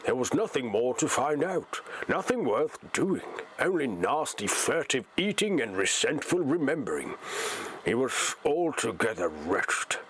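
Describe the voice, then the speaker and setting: A man's voice: medium pitch, big pitch swings, unhurried, a little hiss or hum, rough recording. A young man from Norway with a Norwegian accent and Norwegian as first language, reading a novel aloud on a livestream and chatting, often restarting his words. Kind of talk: live reading and casual chat.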